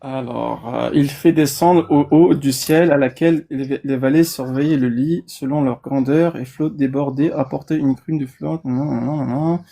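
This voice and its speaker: A man's voice: medium pitch, steady tone, moderate pace, gravelly, hardly any pauses, warm tone. A man in a heated online debate who talks sarcastically, interrupts often, and gets flustered.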